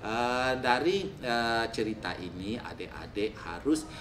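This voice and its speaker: A man's voice: mid-range voice, animated, unhurried, fairly bright tone. A man telling a folktale to children as a storyteller. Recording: echoey room with faint noise.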